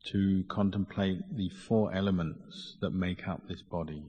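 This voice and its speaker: Very slow, low-voiced man guiding meditation. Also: fairly even intonation, mellow, husky, lo-fi sound. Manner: measured, deliberate phrasing with pauses. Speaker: meditation teacher. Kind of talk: guided meditation instruction. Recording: long hall reverb.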